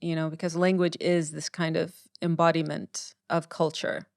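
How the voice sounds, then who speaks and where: Smooth-voiced woman writer, close and dry. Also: low-pitched, flat delivery, normal speed, darkish tone, dry recording. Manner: thoughtful, repeats words while forming ideas. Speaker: writer, podcast guest. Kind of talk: candid conversational interview.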